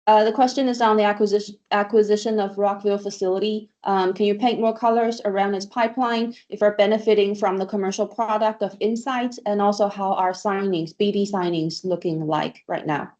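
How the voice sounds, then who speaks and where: A woman's voice: medium pitch, steady tone, fairly quick, few pauses, a little mellow. A woman moderating an online earnings call, formally giving instructions and reading out questions. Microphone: online conference call. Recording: dull lo-fi online call audio.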